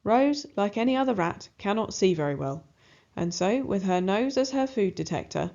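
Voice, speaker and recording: A woman narrating a children's storybook aloud in an expressive style. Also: medium pitch, normal speed, highs cut off.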